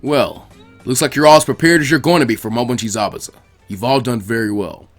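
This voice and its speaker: A narrator voicing a calm, measured adult male ninja teacher. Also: mid-range voice, soft background music, voice pushed down, lightly acted. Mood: pleased.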